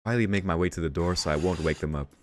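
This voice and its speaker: A man's voice: low-pitched, fast talker, no echo. A man hosting a let's read, reading visual novel narration aloud and dropping in casual asides. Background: game music.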